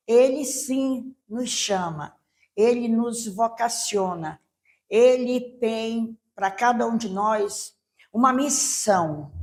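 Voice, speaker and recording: A woman pastor speaks slowly and deliberately in a recorded reflection on faith. Her voice sits a little high and her delivery stays calm and even.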